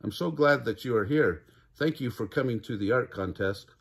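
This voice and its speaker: A man's voice: medium pitch, moderate pace, vocal fry, a little hiss or hum, pitched above the performer's own voice, natural voice. A man voicing a friendly, forthright young girl in a children's storybook, polite and cheerful. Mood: kind.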